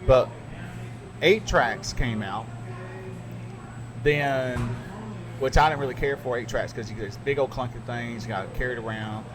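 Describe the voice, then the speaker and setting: A middle-aged man, a radio DJ, chatting casually and telling stories with animated asides. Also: mid-range voice, moderate pace, pauses often. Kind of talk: casual podcast chat. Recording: noisy background.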